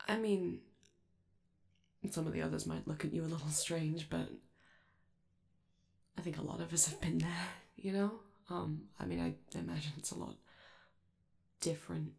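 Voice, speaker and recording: A woman playing a friendly, awkward young male robot in a soft ASMR roleplay, with a creaky voice and a hesitant, rambling, apologetic delivery. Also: low voice, lively intonation, moderate pace, long pauses. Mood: nervous, reassuring.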